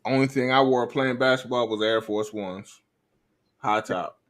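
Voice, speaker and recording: A man's voice: medium pitch, unhurried. A man in his early fifties on a casual sports podcast, reminiscing and repeating himself as he talks. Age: 50s. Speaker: podcast co-host.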